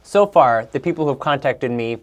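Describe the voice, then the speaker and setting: A man's voice: mid-range voice, lively intonation, a little fast. A man in his thirties, raised in Peru and Bolivia, talking casually and with enthusiasm as an interview guest, often restarting his sentences. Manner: upbeat, enthusiastic, with frequent restarts. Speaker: guest, executive coach.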